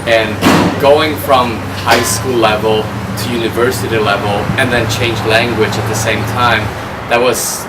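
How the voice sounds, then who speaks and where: A man from Sweden, a student giving plain, halting interview answers. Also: medium pitch, steady tone. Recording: distant mic, loud noise.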